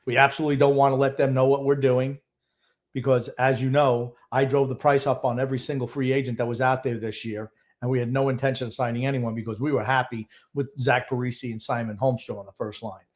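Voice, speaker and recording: A man's voice: mid-range voice, flat delivery, fast talker. An older man co-hosting a sports podcast, giving opinionated, sardonic takes in a casual, grumbling way.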